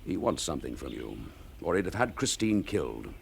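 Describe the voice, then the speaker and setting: A stern chief inspector in a British radio drama. His clipped, commanding delivery swings widely in pitch, with the weary authority of a dutiful officer. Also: sing-song, brisk, vocal fry, a little hiss or hum, exaggerated voice. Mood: stern, angry. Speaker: actor.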